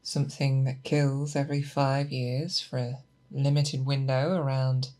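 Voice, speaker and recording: A patient, methodical monster hunter, as an ASMR performer plays the part, mentoring an apprentice. The voice is mid-low and androgynous, with very slow, deliberate delivery that coaxes with questions. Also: level tone, warm tone, close to an everyday voice. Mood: calm, focused. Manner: unhurried, deliberate, coaxing with questions. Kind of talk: ASMR roleplay, scripted character monologue.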